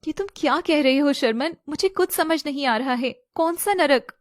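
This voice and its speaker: A woman's voice: high voice, normal speed, no echo, clean studio sound, close to an everyday voice. A woman voicing a guarded, concerned young woman in an audio story, with a dramatic, questioning delivery. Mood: alarmed. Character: guarded young woman confronting and comforting her childhood love. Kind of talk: Hindi audio story acting.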